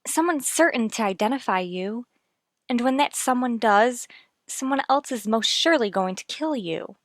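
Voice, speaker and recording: A woman's voice: on the high side, animated, normal speed, dry recording, lightly acted. An actress playing a hero's worried, devoted female companion in a radio drama, earnest and pleading. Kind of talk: old-time radio drama acting.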